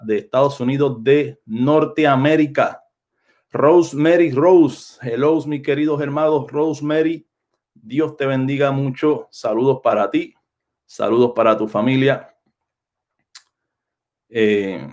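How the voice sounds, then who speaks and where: Rambling Puerto Rican pastor on a livestream. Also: male voice, medium pitch, a little mellow. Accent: Puerto Rican Spanish. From Puerto Rico. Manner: rambling, repeats phrases and restarts often. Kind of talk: unscripted livestream talk to viewers. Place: home, Florida.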